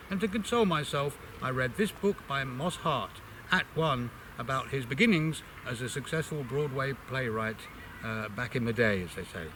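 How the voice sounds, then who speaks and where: Man reading aloud over clear buzzing noise. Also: medium pitch. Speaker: amateur reader introducing and reading a book extract. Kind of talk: expressive literary reading aloud.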